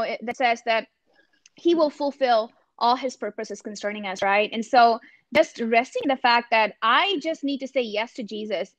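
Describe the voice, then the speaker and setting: A woman's voice: on the high side, a bit bright, no echo. A woman from India with an Indian accent, speaking earnestly and thoughtfully on a video call, often restarting her sentences. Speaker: campus ministry missionary. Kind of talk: reflective panel talk. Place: home room. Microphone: laptop webcam on a video call.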